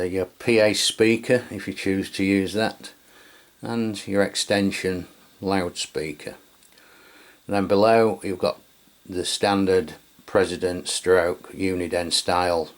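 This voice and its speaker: Deep-voiced man reviewing a radio. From England. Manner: relaxed, hesitant with many fillers. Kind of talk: casual product review. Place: home radio room.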